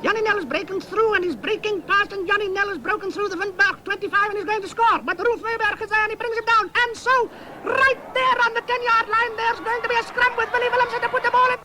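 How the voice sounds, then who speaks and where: A man's voice: extremely high pitch, steady tone, fast, rough recording. A man voicing a bossy, fretful sports broadcast assistant in madcap radio comedy. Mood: urgent commentary.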